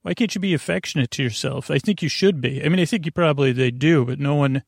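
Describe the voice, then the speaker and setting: A man hosting a bedtime podcast with a creaky, gravelly voice, meandering and halting in a goofy, self-deprecating monologue that keeps going off topic. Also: fairly high pitch, no echo, studio quality. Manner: meandering, halting, goes off topic mid-sentence. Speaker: podcast host.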